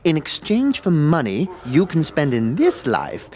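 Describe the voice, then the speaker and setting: A man voicing a tiny, energetic man in his nineties for an audio drama, courtly and archaic, hemming and repeating himself. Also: on the high side, unhurried, voice pushed up. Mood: persuasive, breezy. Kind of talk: audio drama character acting.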